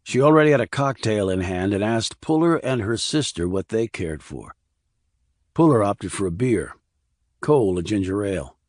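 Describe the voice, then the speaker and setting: A man's voice: fairly low pitch, animated, moderate pace, darkish tone, no room sound, studio quality. A man reading a crime thriller audiobook as scripted narration.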